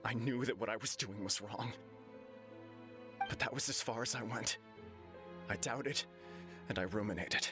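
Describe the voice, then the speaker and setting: A man's voice: fairly low pitch, unhurried, pauses often, lightly acted. An actor voicing an earnest, self-doubting young nobleman in a game, solemn and formal, over game music. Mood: remorseful. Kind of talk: game dialogue voice acting. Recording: game audio with music behind.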